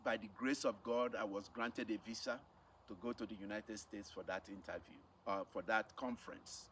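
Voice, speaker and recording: A man's voice: on the low side, fairly even intonation, moderate pace, a bit bright. A middle-aged man with a Nigerian accent tells a personal testimony as a missionary, speaking emphatically and repeating phrases for effect. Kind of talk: personal testimony, storytelling to camera. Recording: distant mic with faint background noise.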